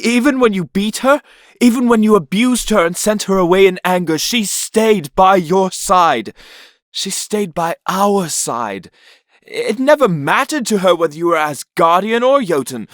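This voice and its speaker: Man acting an impassioned young prince, higher pitched. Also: expressive, unhurried, a bit bright, no room sound, studio quality. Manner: emotional, impassioned. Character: pained, earnest younger prince, pleading and defiant. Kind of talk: dramatic fan audio drama acting.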